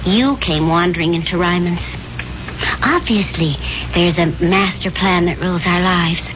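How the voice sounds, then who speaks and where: A woman's voice: on the low side, a little slow, natural voice. An actress playing a wistful young woman reminiscing with her sweetheart in earnest, reflective 1970s radio drama style. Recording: dull, noisy 1970s radio recording.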